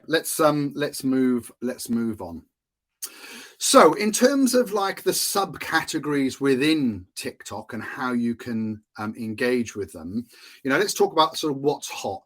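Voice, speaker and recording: A man's voice: mid-range voice, fairly bright tone. A Welsh man hosting a solo podcast on marketing, talking in a chatty, self-amused way with frequent fillers and little laughs. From Wales. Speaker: podcast host, marketing consultant.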